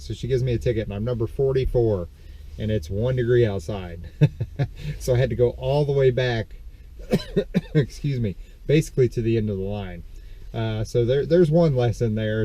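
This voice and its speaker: A man's voice: lowish voice, mellow. A man rambling casually through a haul of estate sale finds, stuffed up and congested from a dust allergy, full of ums and self-corrections. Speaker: vlogger showing reseller finds. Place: parked car. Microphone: camera on the steering wheel.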